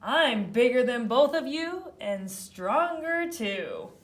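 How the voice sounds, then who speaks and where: A woman voicing a boastful male vampire from a children's storybook, bragging with drawn-out emphasis. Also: fairly high pitch, sing-song, pitched above the performer's own voice.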